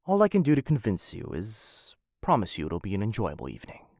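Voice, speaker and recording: Man acting a low, creaky-voiced courtly assassin suitor. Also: huge pitch swings, fairly quick, no room sound, clean studio sound. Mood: suave, courting. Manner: formal, courtly phrasing with hesitant softer asides. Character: smitten male assassin of noble house, suave, courtly, playful. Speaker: voice actor in a boyfriend roleplay audio. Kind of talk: ASMR roleplay acting. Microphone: close studio-style mic.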